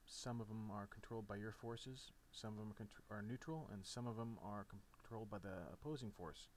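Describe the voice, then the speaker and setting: A man's voice: fairly low pitch, fairly quick. Man giving a casual tutorial, noisy recording. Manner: informal, instructional. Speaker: tutorial presenter. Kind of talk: casual step-by-step tutorial narration over a flight simulator. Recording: clear background noise. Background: steady noise, game sound off.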